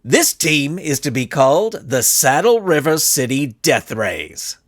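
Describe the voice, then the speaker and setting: A man voicing a pompous, scheming supervillain genius with grandiose, measured delivery for a storybook. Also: a little high, very animated, no echo, studio quality. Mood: smug, grandiose.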